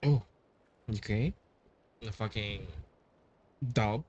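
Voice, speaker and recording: A man's voice: on the low side, drawn-out words, a little mellow, muffled recording, a little hiss or hum. A man giving casual playthrough commentary, muttering half to himself as he plays a game. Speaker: gamer playing through a story quest. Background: game music.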